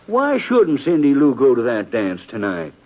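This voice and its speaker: An actor playing a folksy, excitable, kindly wagon peddler with a rural American drawl in an old-time radio western. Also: male voice, mid-range voice, normal speed. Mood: gleeful, scheming. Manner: folksy, drawling, chuckling, swooping delivery. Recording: dull, lo-fi vintage radio recording.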